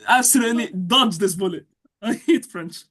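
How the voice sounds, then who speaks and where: A man's voice: high-pitched, moderate pace. Chatty young man on a call. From Egypt. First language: Arabic. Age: young adult. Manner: chatty, enthusiastic, repeats words when excited. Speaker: university student guest. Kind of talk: casual interview chat. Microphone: video call.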